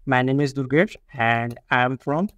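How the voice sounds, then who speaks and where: A man's voice: medium pitch, moderate pace, a bit bright, dry recording. Male coding tutor, step-by-step walkthrough, dead dry close-mic sound. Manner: repetitive, explains step by step with frequent "see" and "only". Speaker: programming tutor. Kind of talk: screen-recorded coding lesson.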